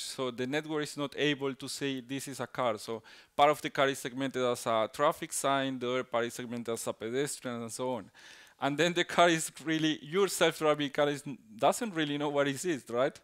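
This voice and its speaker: Smooth-voiced Colombian man giving a talk. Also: medium pitch, fairly bright tone. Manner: halting, many restarts and fillers, tag "right?". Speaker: conference speaker. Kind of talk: technical conference talk.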